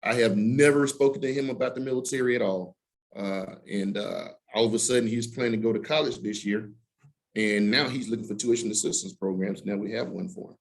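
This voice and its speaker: A man in his fifties, an Army recruiter, giving a halting talk to students with many filler sounds. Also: lowish voice, fairly even intonation, vocal fry. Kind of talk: presentation to students.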